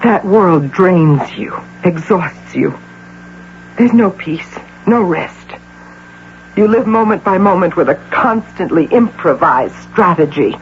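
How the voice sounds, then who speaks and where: An actress playing a proud, anguished middle-aged businesswoman who clings to her fantasy, in impassioned, theatrical radio drama style full of rhetorical questions. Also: lowish voice. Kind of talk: old-time radio drama acting. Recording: dull, noisy old radio broadcast.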